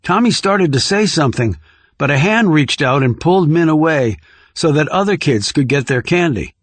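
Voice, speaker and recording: A man's voice: on the high side, expressive, normal speed, dry recording. Man narrating a thriller audiobook, dry sound.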